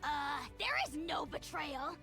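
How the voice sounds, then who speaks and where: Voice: sits high, a little slow, gravelly, over music. An actor voicing a tiny, haughty, evil dragon lord in a video game, pompous, scornful and grandiose. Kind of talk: video game character acting.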